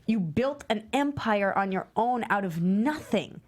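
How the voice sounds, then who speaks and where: A woman's voice: mid-range voice, lively intonation, unhurried. A woman reading the part of a pushy, sardonic documentary interviewer at a table read. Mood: probing.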